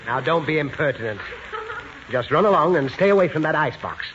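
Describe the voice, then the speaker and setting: A man's voice: on the high side, lively intonation, a little fast, fairly bright tone. An actor playing a pompous, long-suffering suburban father in 1940s radio comedy, theatrical and exasperated. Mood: pompous, irritated. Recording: muffled, very noisy 1940s radio recording.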